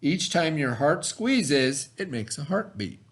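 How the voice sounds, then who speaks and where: Male teacher, middle-aged, higher-pitched voice, very varied intonation, reading aloud and explaining for children. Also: very animated. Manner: instructional, pauses to define and repeat terms. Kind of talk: read-aloud lesson with explanatory asides.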